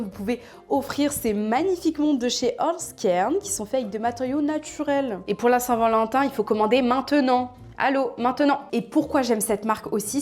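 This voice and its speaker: A woman's voice: a little high, a little mellow. A woman giving a scripted intro and sponsor read with an emphatic, salesy delivery. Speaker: presenter of intro and sponsor segment.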